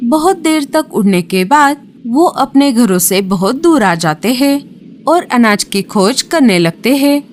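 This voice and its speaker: A woman's voice: fairly high pitch, animated, takes it slowly, a little hiss or hum. A woman narrating a scripted children's horror story as a storyteller. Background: music.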